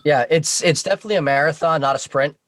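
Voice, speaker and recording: A man chatting casually as a podcast guest, explaining things in a halting, discursive way with restarts and asides. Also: a little high, a bit bright. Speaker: podcast guest, audio drama creator. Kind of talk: casual interview chat.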